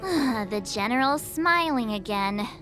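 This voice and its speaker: An actress playing a small, curious, friendly young companion in a video game, chatty and informal. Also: female voice, a little high, expressive, a little slow, a bit bright. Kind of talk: scripted video game dialogue. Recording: background music under the voice.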